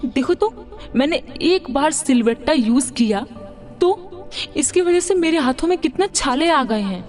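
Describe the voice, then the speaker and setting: Spoiled high-voiced young city bride, acted by a woman. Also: expressive, measured pace, darkish tone, soft background music. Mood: whiny complaining. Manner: whiny, demanding, mixes English words into Hindi. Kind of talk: Hindi cartoon moral story dialogue.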